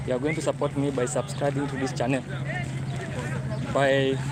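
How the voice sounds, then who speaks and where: Kenyan man vlogging on a noisy street. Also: medium pitch. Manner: chatty, repeats himself, talks to viewers. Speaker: travel vlogger. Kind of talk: walking livestream vlog. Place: outdoors on a city street. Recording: street noise around the phone.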